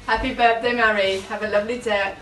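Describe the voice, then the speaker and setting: A woman recording a slow, affectionate birthday message on a phone at home. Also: on the high side, fairly even intonation. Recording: roomy, distant phone audio.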